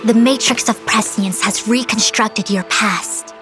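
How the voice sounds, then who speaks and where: A woman's voice: medium pitch, a little slow, music in the background, close to an everyday voice. A woman voicing a composed, proud and learned master diviner in a video game, speaking in a formal, wordy, pompous way. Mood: calm, instructive. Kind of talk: scripted video game voice acting.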